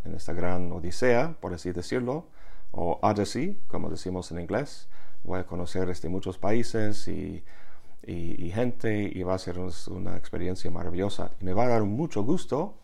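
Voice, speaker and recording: A man whose first language is English, giving a reflective monologue in a halting way, with many pauses and fillers. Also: deep voice, gravelly, a little mellow. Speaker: vlogger.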